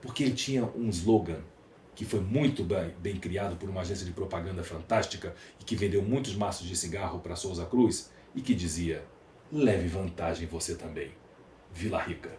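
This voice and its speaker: A Brazilian man with a fairly low voice talks at length as an interview guest. He is emphatic and rhetorical, stacking lists and questions. The room is echoey, with faint noise behind him. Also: normal speed, darkish tone. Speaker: podcast guest, entrepreneur. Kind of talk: conversational interview monologue.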